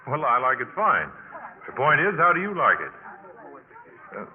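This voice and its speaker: An actor playing a wry, easygoing young college adviser in a bantering 1950s radio drama style. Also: male voice, medium pitch, wildly swooping pitch, a little slow. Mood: wry amusement. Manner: amused, bantering. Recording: muffled narrow-band 1950s radio recording.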